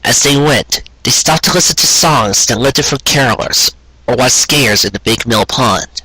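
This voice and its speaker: A man reading a scripted story aloud in a gentle, measured storybook manner with deliberate pauses. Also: medium pitch, slightly rough, poor audio. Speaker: fan fiction audiobook reader.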